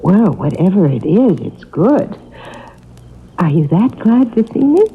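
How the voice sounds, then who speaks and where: An affectionate wife in an old radio play. Her mid-pitched voice is lively and cheery, coming through a muffled vintage broadcast. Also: unhurried. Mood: tender, curious. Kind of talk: 1970s radio drama acting.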